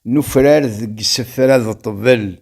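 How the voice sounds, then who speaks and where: A man with a Kabyle accent reciting rhymed verse as a poet, in a measured, declamatory delivery. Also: mid-range voice, gravelly, darkish tone.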